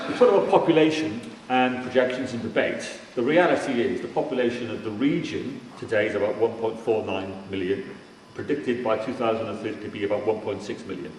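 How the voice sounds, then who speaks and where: A man from Cardiff in Wales giving an informal but emphatic keynote presentation with slides, restarting sentences here and there. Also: on the low side. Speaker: keynote speaker on regional transport. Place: large indoor forum venue. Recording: very echoey hall, distant mic, faint noise.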